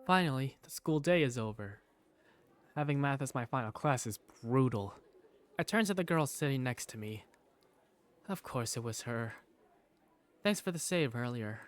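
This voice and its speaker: A man's voice: mid-range voice, animated, normal speed, pauses often, natural voice. A man playing a sleepy, easily embarrassed teenage schoolboy who narrates a visual novel in the first person. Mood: embarrassed, weary.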